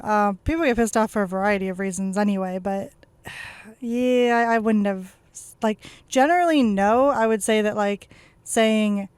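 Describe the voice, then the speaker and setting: A woman in her thirties co-hosting a casual podcast, easygoing and soft-spoken, often saying "like.". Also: mid-range voice, normal speed, smooth, no echo. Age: 30s. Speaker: podcast co-host. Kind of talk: casual chat.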